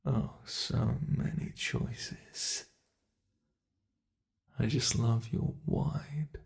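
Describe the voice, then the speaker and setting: An ancient monster , acted out by a man, toys sadistically with human prey in an ASMR roleplay. The voice creaks with fry and takes its time over every threat. The delivery is theatrical and teasing, dropping into breathy, menacing whispers. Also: medium pitch, exaggerated voice. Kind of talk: ASMR roleplay, scripted monologue.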